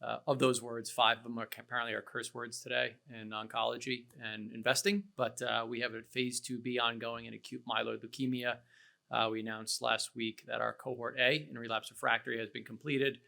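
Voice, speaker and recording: A man's voice: medium pitch, flat delivery, a little fast, vocal fry, pushed and bright. A man introducing his company on a business panel, with a wry remark. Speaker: biotech CEO panellist.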